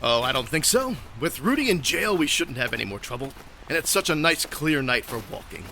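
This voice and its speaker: A man's voice: fairly high pitch, sing-song, fairly quick, vocal fry, pushed and bright, a little hiss or hum, exaggerated voice. A man playing an earnest, commanding, matter-of-fact caped crime-fighter in a comedic radio play. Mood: satisfied.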